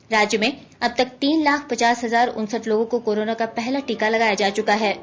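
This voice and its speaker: A woman news reader delivering a formal scripted radio bulletin. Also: a little high. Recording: dull band-limited radio recording.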